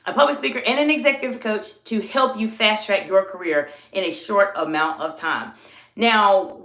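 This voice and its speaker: A woman's voice: medium pitch, fairly bright tone. Southern-accented woman coaching live, echoey room. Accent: Southern US mixed with New York. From American South. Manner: drawn-out emphatic words, reads chat aloud. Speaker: executive coach and channel host. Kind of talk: live-stream coaching talk.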